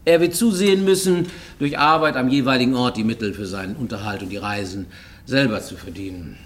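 An actor in a German radio play voicing a haunted middle-aged Japanese fugitive, formal and intense, sometimes dropping to a near whisper. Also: male voice, medium pitch, a little fast, a little hiss or hum, natural voice. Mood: brooding, paranoid.

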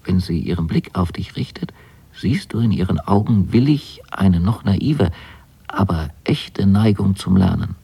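An actor playing a dreamy, naive young son who narrates letters to his mother in a measured, polite radio monologue. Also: sits low, lively intonation, gravelly, mellow, breathy edge, exaggerated voice. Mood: dreamy musing. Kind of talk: literary radio drama monologue.

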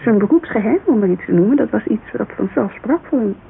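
Radio drama part: Dutch woman, thirties, guarded and wistful, gravelly fry in the voice, hissy narrow-band recording. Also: medium pitch, animated, quick pace. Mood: fond, wistful. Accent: Dutch, Netherlands. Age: 30s. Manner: hesitant, colloquial, searching for words. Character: thirty-three-year-old Amsterdam model agency owner, guarded, wistful, candid. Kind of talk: naturalistic radio drama dialogue.

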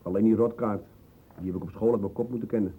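A man's voice: on the low side, fairly even intonation, fast talker, husky. An actor playing an offhand, grumbling young man in an old radio play. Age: young adult. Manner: offhand, hesitant, swearing. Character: casual, grumbling young man, recently out of school. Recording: thin, phone-like old radio play recording.

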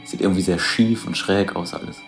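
A man's voice: sits low, steady tone, fairly quick, faint music behind. An actor playing a matter-of-fact man who describes a dreamlike scene piece by piece in a radio drama. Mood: observing.

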